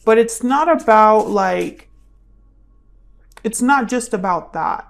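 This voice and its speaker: A woman's voice: fairly high pitch, animated, slow pace, vocal fry. A woman hosting a tarot reading in a casual, intuitive style, thinking aloud and often repeating her questions and phrases. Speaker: tarot reader, channel host.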